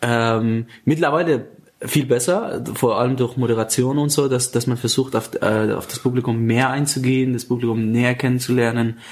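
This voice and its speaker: A young man in his twenties, originally from Afghanistan, talks about his comedy career in a relaxed interview. He speaks at an average pitch and keeps breaking off, restarting his sentences and filling the gaps with hesitations. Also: fairly quick. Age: 20s. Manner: hesitant, many fillers and restarts. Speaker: stand-up comedian guest. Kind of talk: casual interview chat.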